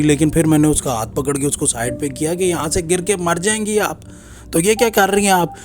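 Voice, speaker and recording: A man's voice: mid-range voice, darkish tone. A man informally retelling a listener's scary story as a narrator, in a conversational way with repeated reporting phrases. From Pakistan. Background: music.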